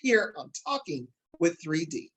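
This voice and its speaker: A man's voice: on the high side, big pitch swings, measured pace, a bit bright. A man hosting a talk show, interviewing guests and addressing viewers with showman phrases and slogans.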